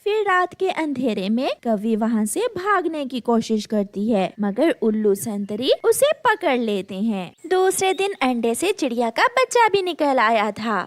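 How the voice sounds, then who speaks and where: High-voiced woman narrating a children's story. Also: sing-song, measured pace, hardly any pauses, no room sound.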